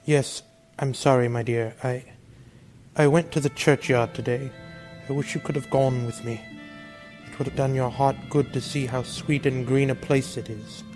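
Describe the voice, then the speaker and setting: A man playing a gentle, grieving father in a radio drama, speaking tenderly and haltingly in sorrow. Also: medium pitch, steady tone, faint music behind, close to an everyday voice. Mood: weary tenderness. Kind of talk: theatrical radio drama acting.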